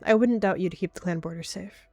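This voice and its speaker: A woman's voice: on the low side, fast talker, darkish tone, dry recording, soft background music. A woman voicing a wary, anxious female warrior cat in a roleplay, earnest and hesitant. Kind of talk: text roleplay acted aloud.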